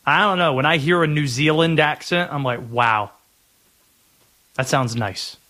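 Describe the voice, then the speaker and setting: A man's voice: a little high, a little slow, pushed and bright. A man with an American accent hosting sports talk radio, chatting and musing aloud in a conversational way. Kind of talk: sports talk radio monologue and caller chat.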